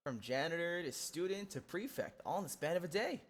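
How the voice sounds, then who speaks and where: Man as a snarky high-voiced teenage schoolboy. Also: animated, fairly quick. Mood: sarcastic. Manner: snarky, casual. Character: sarcastic, blunt teenage schoolboy at magic academy. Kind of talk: anime fandub voice acting. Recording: music behind.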